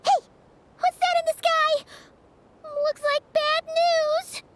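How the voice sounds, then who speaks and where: A woman voicing a small, squeaky, excitable companion creature in a video game, with noise behind. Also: slow pace. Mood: alarmed.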